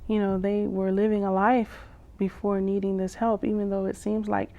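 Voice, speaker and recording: A woman poet and vocalist performing spoken-word poetry with drawn-out phrases, pauses and hums, some lines half sung. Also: medium pitch, level tone, vocal fry. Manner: slow, drawn-out delivery with long pauses and hums. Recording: dull lo-fi sound.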